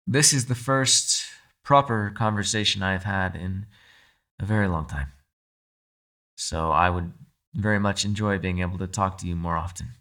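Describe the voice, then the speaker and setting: A man voicing a proud, gentlemanly captive yokai in a dramatic ASMR roleplay, articulate and courteous yet demanding, speaking directly to the listener. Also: deep voice. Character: captive male yokai, gentlemanly, proud, protective telepathic prisoner. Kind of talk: ASMR audio roleplay, dramatic character acting.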